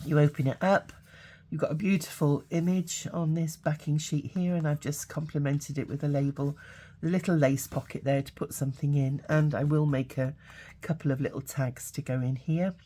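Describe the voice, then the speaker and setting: Hoarse low-voiced woman, chatty. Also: fairly even intonation, warm tone. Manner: chatty, halting, many fillers. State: hoarse from a cold. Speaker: craft channel host. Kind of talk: casual craft flip-through.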